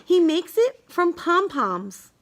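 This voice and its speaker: A woman reading a rhyming children's bedtime story aloud, halting now and then with small stumbles over words. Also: extremely high pitch, sing-song. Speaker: storybook reader.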